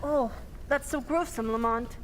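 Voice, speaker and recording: A woman's voice: a little high, measured pace, natural voice. A woman playing a timid, nervous lady companion to a sleuth in an old-time radio drama. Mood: appalled. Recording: faint hiss and room ambience.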